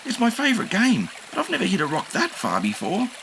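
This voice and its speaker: A man's voice: high voice, wildly swooping pitch, a little fast, creaky, noisy background, voice pushed up, full character voice. A man voicing a young, daydreaming boy dinosaur who speaks in an earnest, eager way.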